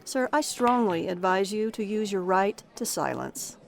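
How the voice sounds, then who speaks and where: A woman's voice: mid-range voice, expressive, measured pace, creaky, a little hiss or hum. An actress in an audio drama playing a stern, humorless female officer, clipped and reproving. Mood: cold, reproving.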